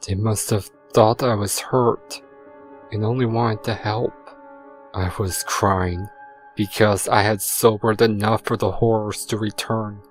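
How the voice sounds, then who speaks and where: A man playing a guilt-ridden stallion confessing in prayer, in a sombre, measured, remorseful way for a dramatic fan fiction reading. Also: lowish voice.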